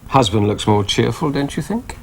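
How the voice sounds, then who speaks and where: An actor playing a flustered, evasive middle-aged husband recovering from heart trouble, in a British TV sitcom. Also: male voice, medium pitch, very animated, normal speed, a little hiss or hum. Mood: easygoing. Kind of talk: 1980s British sitcom acting.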